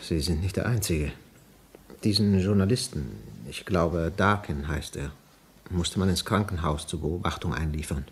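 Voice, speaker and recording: An actor playing a sober, sympathetic railway official in a radio play, measured and concerned. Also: male voice, deep voice. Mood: sombre, puzzled. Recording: slightly noisy radio drama recording.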